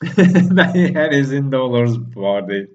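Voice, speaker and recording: Slow-talking man reacting with laughter. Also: medium pitch, muffled recording. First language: not English. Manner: excitable, laughs often, reads lines aloud. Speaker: reaction video host. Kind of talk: live reading and reaction.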